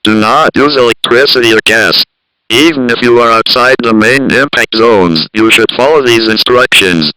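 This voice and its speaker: A man's voice: medium pitch, moderate pace, bright, forceful tone, smooth, no echo, lightly acted, low-quality recording. A man playing a stern, formal emergency alert announcer in an audio drama, giving clipped, commanding instructions. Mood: grave, urgent.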